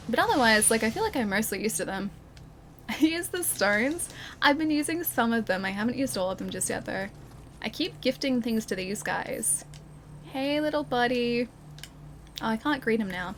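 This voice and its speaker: A woman's voice: a little high, fairly bright tone, a little hiss or hum. A young woman streaming a video game, chatting casually with her viewers, laughing often and restarting her sentences. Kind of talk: casual gameplay commentary, chatting with live chat.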